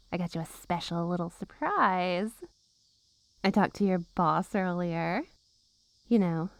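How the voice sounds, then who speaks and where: Slow-talking, possessive witch girlfriend played by a woman. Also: mid-range voice, gravelly, a little mellow, no echo. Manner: slow, cooing, teasing with veiled threats. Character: possessive, menacing witch girlfriend with mock-innocent sweetness. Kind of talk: ASMR roleplay.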